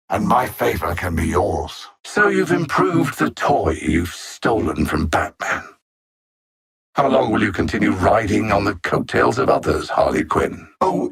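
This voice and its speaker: Alien machine-mind game villain, cold, condescending, formal, gravelly, very expressive pitch swings. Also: male voice, full character voice. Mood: gloating menace. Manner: haughty, measured, formal, mocking. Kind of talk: scripted video game villain dialogue.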